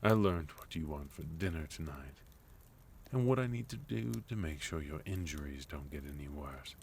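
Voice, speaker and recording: A man playing a sophisticated, courteous villain leader in a soft-spoken, gently teasing ASMR roleplay. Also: extremely low pitch, lively intonation, moderate pace, vocal fry, a little hiss or hum, heavily acted. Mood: gentle, caring. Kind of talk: ASMR roleplay, intimate scripted monologue to listener.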